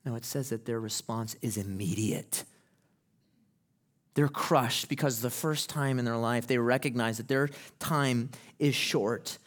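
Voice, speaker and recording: A man preaching a sermon as pastor, moving from conversational teaching to loud, impassioned preaching. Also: mid-range voice, unhurried. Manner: acts out quoted people in casual voices. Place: church sanctuary.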